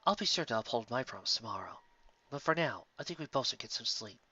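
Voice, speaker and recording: Kind young male deer for a storybook reading, done by a narrator: quiet, measured, comforting, bright timbre, close dry sound. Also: animated, breathy edge, no echo, distant mic, lo-fi sound, full character voice. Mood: gently amused. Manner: soft, low-key, gentle, measured. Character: calm, kind young male stag, reassuring.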